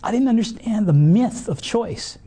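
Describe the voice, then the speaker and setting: A man around fifty with a hoarse, worn voice tells his personal story in conversation, earnest and halting with many fillers. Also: high voice, expressive, mellow. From Canada. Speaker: guest sharing a personal testimony.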